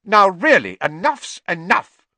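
Audiobook role: exasperated older schoolmistress voiced by a man, indignant, sharp, very dry recording. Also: on the high side, huge pitch swings, moderate pace, no room sound, voice pushed up. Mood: furious. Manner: clipped, indignant, scolding.

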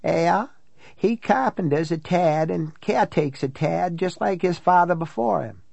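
A man's voice: sits high, measured pace, vocal fry, a little mellow, no room sound, pitched above the performer's own voice, exaggerated voice. A man voicing an older small-town housekeeper woman from an audiobook, blunt, gossipy and matter-of-fact. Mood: gossipy relish. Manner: folksy, matter-of-fact storytelling. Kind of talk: audiobook character dialogue.

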